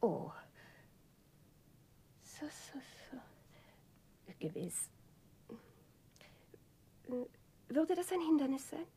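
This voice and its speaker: A woman's voice: high-pitched, sing-song, slow pace, warm tone, exaggerated voice. An actress playing an insinuating, probing woman who asks with pointed innocence in a 1960s German radio play. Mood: veiled suspicion. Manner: hesitant, pointedly innocent questioning. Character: insinuating, probing woman with veiled sharp intent.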